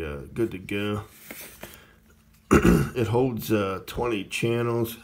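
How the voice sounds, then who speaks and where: A man's voice: deep voice, creaky. A man casually demonstrating a small radio at a table indoors, plainspoken and rambling with many fillers. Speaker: radio reviewer. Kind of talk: casual product demo.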